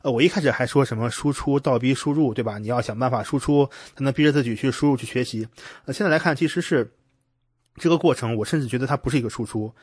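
Fast-talking man with a husky voice. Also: mid-range voice, a little mellow, no room sound, studio quality. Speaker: podcast host. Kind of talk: casual podcast conversation.

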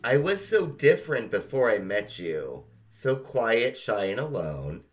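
A man's voice: mid-range voice, very animated, unhurried. A man co-hosting a casual chat show with readings of poems, easily moved and prone to breaking up. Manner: emotional, breaks up laughing and tearing up. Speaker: podcast co-host.

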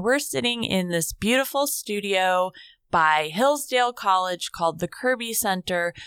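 A woman's voice: mid-range voice, a bit bright, clear, resonant tone, dry recording. Woman hosting a studio interview. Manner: measured, asks short follow-up questions. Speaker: talk show host.

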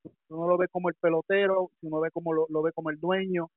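A man's voice: a little high, flat delivery, fast, dry recording. A man calling in as a baseball commentator, explaining by taking different viewpoints and often repeating words. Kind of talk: casual panel discussion. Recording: phone line call-in.

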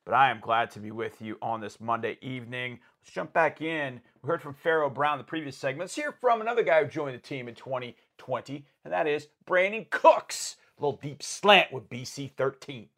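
A man's voice: mid-range voice, lively intonation, a little fast, few pauses, a bit bright. A man hosting a sports show, introducing segments and signing off to listeners. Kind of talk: scripted-sounding show hosting.

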